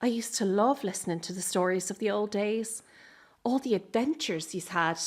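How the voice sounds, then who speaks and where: Young Irish woman, acted, medium pitch, caring, blunt, circus granddaughter, radio drama. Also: moderate pace, close to an everyday voice. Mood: warm, prompting.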